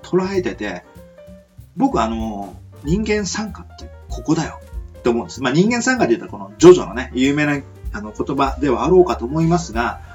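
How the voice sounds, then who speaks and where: A man's voice: mid-range voice, big pitch swings, fairly quick, darkish tone, soft background music. A man hosting an amateur radio show in a rambling, reflective monologue, hesitant and searching for words in a polite, earnest way. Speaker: radio host. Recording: dull lo-fi audio.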